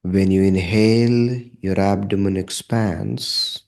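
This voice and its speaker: A man leading a guided meditation in a calm, measured manner, leaving long pauses between short phrases. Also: deep voice, vocal fry.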